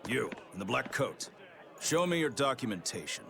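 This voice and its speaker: A man's voice: mid-range voice, big pitch swings, normal speed, vocal fry, background noise. A man voicing a stern, officious city guard in a video game, brusque and authoritative. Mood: suspicious. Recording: music behind the voice.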